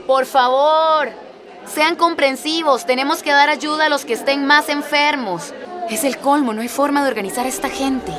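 Woman acting stressed aid worker begging for order, high pitch, crowd all around, radio play. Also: normal speed, over music, natural voice. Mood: pleading, exasperated. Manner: pleading, exasperated. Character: overwhelmed female aid worker pleading for order. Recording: crowd clamour behind.

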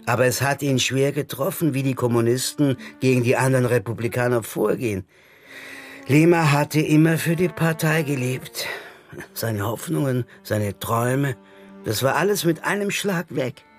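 An actor playing a serious man giving a measured, sober account in a radio drama. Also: medium pitch, soft background music, lightly acted. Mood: sober, reflective. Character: sober male informant recounting a comrade's disillusionment.

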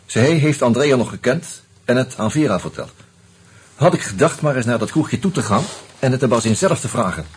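An actor playing an earnest, troubled man turned amateur sleuth in a Dutch radio play, insistent and halting as he reasons aloud. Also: medium pitch, lively intonation, slightly rough. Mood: brooding, intent. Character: earnest, agitated amateur sleuth, a murdered man's loyal friend.

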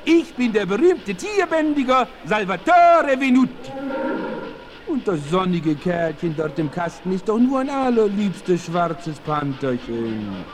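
A pompous little animal tamer in a vintage radio play. He announces grandly in an extremely high, swooping voice, drawing out his words like an excitable showman. Faint record noise sits underneath. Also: unhurried. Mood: grandiose, reassuring. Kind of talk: 1960s German radio play acting.

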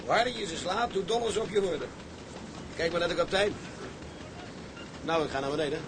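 A man's voice: high voice, fairly quick, close to an everyday voice. An actor playing a cynical, amused seaman in a Dutch radio drama. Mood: amused, goading. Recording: noisy track with watery ambience.

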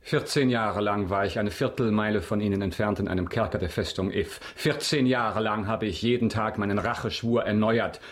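Male actor as avenging nobleman, low voice, stern, bitter, formal delivery, radio play. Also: natural voice. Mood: bitter, vengeful. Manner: formal, grave, measured. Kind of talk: German radio drama acting.

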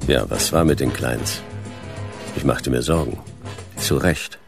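A man's voice: extremely low pitch, a little slow, gravelly, loud music behind the voice, heavily acted. A man playing a laconic, world-weary private detective in a German sci-fi crime radio play, terse, dry and hard-boiled. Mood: dry narrating. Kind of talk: noir radio play narration and dialogue.

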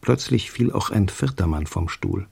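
A man reads literary prose aloud. His voice is low, dark and mellow, with a gravelly, husky grain. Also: animated, normal speed. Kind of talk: literary reading.

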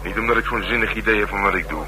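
A man's voice: on the low side, very fast, close to an everyday voice. An actor playing a world-weary, teasing male police detective in a radio crime drama, with a Netherlands Dutch accent and a dry, needling manner. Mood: weary, matter-of-fact. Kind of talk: naturalistic radio drama dialogue. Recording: hissy, humming old radio recording.

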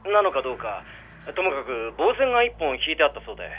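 A man's voice: a little high, big pitch swings, quick pace, slight background noise. A man playing a matter-of-fact police detective in a Japanese radio drama, heard over a telephone line. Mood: businesslike. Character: businesslike male police detective reporting on murders.

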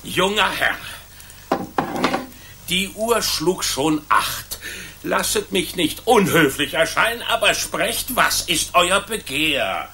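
An actor playing a proud but easily flustered town blacksmith who stammers in servile courtesy in a historical radio play. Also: male voice, sits high, expressive, slow, background noise, exaggerated voice. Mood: obsequious, fussy. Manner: stammering, servile yet indignant.

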